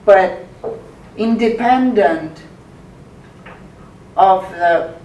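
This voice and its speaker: Female audience member, very slow halting delivery, distant mic with faint noise. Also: mid-range voice, mellow, echoey room, highs cut off. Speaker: audience member asking a question. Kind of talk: halting spontaneous question. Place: lecture room audience, indoors.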